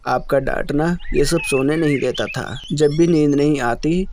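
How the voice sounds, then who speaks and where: A man's voice: on the high side, unhurried, darkish tone, a little hiss or hum. A man voicing a young male cartoon crow, an earnest, pleading son determined to succeed. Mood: calm, respectful.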